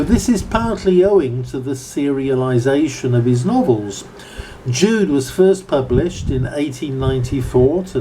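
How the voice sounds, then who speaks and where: Man, 70s, southern English, unhurried bookish lecturer reading from notes, soft mellow tone. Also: medium pitch, slight background noise, low-quality recording. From Berkshire, southern England. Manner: measured, bookish, formal phrasing. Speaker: amateur book reviewer giving an informal lecture. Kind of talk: informal lecture, read from notes.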